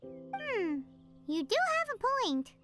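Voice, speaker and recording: A woman voicing a small, cheeky, excitable childlike fairy companion in a video game, exclaiming as she talks. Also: very high voice, takes it slowly, exaggerated voice. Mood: doubtful, playful. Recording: loud music behind the voice.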